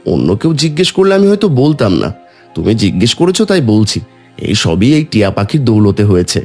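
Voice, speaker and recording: A man's voice: fairly low pitch, huge pitch swings, brisk, a little mellow, faint music behind, heavily acted. A man voicing a kind, shrewd and humble woodcutter hero in a cartoon, assured as he explains himself. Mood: confiding.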